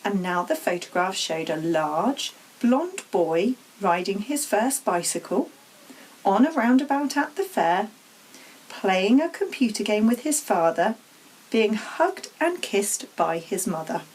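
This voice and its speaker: A woman reading a children's storybook aloud as an expressive narrator. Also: medium pitch, measured pace, a little hiss or hum, rough recording. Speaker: story reader.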